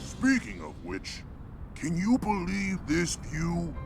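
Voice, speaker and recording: A man's voice: sits high, lively intonation, slow pace, gravelly, heavily acted. An actor playing a jovial, hulking male Goron champion who eats rocks, with a boisterous folksy manner, in a video game cutscene with music behind. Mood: hearty.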